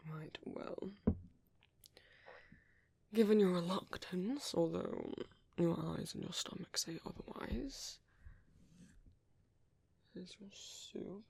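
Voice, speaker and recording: Soft british guy doing a vampire priest for asmr, caring n polite, creaky whispery voice, super close dry mic. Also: high voice, dry recording, exaggerated voice. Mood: kindly coaxing. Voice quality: breathy, whispery. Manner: hesitant, polite, reassuring, softly murmured. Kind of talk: ASMR roleplay, speaking to the listener. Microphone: close microphone.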